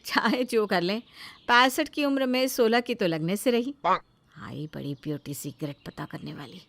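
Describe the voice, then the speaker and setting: A woman's voice: medium pitch, normal speed, creaky, a bit bright. A woman voicing a jealous, touchy elder daughter-in-law in a family story, with a sarcastic, defensive manner.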